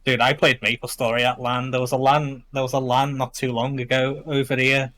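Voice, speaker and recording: A young man with a Liverpool accent talks in an easy, informal way. His pitch is average for a man, and his speech is full of gamer slang, like someone chatting on a stream. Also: level tone, fairly quick, pushed and bright. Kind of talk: casual chat.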